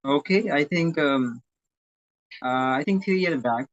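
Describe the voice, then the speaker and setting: A man who teaches at a primary school, speaking as an interview guest in a halting way and often correcting himself mid-sentence. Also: on the high side, dry recording. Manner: halting, self-correcting, searching for words. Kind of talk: interview conversation. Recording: dull, dry lo-fi audio.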